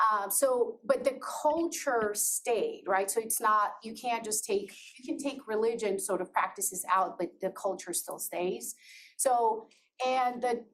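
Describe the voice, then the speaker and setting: A middle-aged woman from Azerbaijan, with Georgian as her first language, giving a candid personal talk to an audience, laughing often and restarting her sentences. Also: mid-range voice. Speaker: presenter. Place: presentation room. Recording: voice from far off with faint noise.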